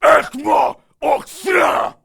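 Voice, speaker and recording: A man voicing a fierce alien creature in a raspy death-metal growl for a video game. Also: very high-pitched, drawn-out words, pushed and bright, raised voice, exaggerated voice. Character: fierce combative alien speaking an invented tongue.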